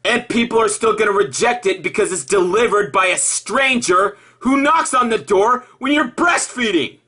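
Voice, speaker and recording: A man delivering an improvised sarcastic rant in an exasperated, mocking way, halting and repeating himself, with profanity. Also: high voice, expressive, pushed and bright, husky. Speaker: video commentator.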